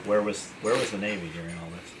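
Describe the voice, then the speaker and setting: A man hosting a military gear video chats off the cuff, blunt and profane, with halting explanations. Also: lowish voice. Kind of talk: casual unscripted chat. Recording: clear background noise.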